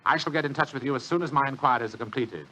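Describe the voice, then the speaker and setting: An actor playing a lazy, pompous, shrewd British government official with a grumbling, dryly superior manner, in 1940s radio drama style. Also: male voice, medium pitch, very fast, pushed and bright, slight background noise, close to an everyday voice, low-quality recording. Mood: shrewd, intent.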